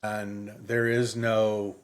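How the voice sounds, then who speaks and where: A man's voice: fairly low pitch, little pitch movement, extremely slow. A man co-hosting a podcast in a casual book discussion, rambling and often repeating himself. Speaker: podcast co-host.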